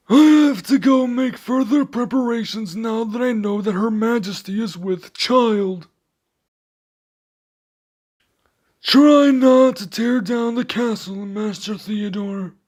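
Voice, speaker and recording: A man playing a loyal royal servant in an audio roleplay, formal, composed and deferential, with gentle candour. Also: sits high, slow, hardly any pauses. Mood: dutiful, teasing.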